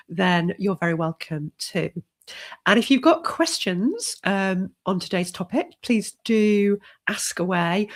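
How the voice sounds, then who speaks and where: Middle-aged woman, leadership coach with a cold, low gravelly voice, steady and encouraging. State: has a cold. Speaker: leadership coach hosting a live stream. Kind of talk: solo live stream talk.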